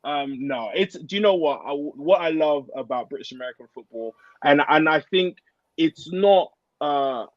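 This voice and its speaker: A man's voice: on the high side, normal speed, dry recording, highs cut off. A man of thirty chatting casually as a podcast guest, in an informal, slangy and earnest way. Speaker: guest, American football player.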